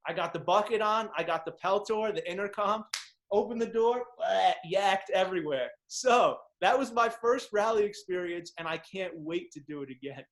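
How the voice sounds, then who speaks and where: High-voiced, excitable podcast host. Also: male voice, moderate pace, slight background noise. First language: Czech. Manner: enthusiastic, slangy storytelling. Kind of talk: casual interview chat.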